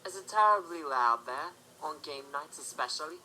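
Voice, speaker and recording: A woman's voice: low-pitched, expressive, moderate pace, bright, forceful tone, slight background noise, low-quality recording. A woman voicing a blunt but caring pony tavern keeper in an audio drama, firm and no-nonsense. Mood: concerned.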